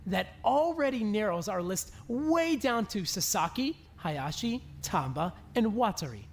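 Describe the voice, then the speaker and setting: An actor voicing a smug, accusing young male student in an animated murder mystery, deliberate and taunting. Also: medium pitch, slight background noise. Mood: calm, reasoning.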